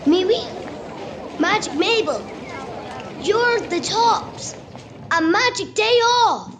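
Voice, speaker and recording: A woman voicing an excitable, wide-eyed young boy in an amateur radio play, gleeful and full of exclamations. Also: extremely high pitch, slow pace, exaggerated voice. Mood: cheerful. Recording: noisy, echoey home recording.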